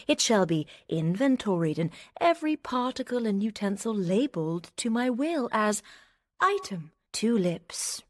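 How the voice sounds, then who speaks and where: A woman's voice: mid-range voice, no room sound. An actress playing a proud, witty young noblewoman with an arch, haughty, self-possessed manner, in a Shakespearean radio drama. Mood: teasing, arch. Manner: arch, dry wit, measured.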